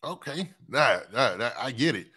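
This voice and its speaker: Upbeat male interviewer with a bright, sharp tone, keen and affirming. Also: mid-range voice, expressive, moderate pace, husky. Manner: eager, affirming, asks leading questions. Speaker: interview host. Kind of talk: casual interview chat.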